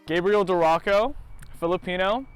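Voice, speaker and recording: A man's voice: high-pitched, moderate pace, clear, resonant tone, soft background music. An eighteen-year-old man answering interview questions thoughtfully, searching for his words. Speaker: student interviewee.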